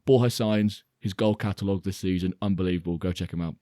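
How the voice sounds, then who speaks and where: A man with a lowish, slightly croaky voice recounts a football match in animated, casual podcast chat. The recording is close and dry. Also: quick pace, dry recording. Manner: animated storytelling. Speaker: podcast co-host.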